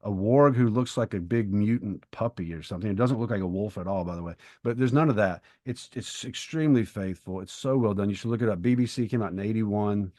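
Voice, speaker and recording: A man's voice: on the low side, a little fast, hardly any pauses, dark tone, dry recording, studio quality. A middle-aged man co-hosting a podcast, chatting casually in a bookish, opinionated way and explaining things at length. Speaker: podcast co-host and writer. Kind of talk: casual conversational discussion.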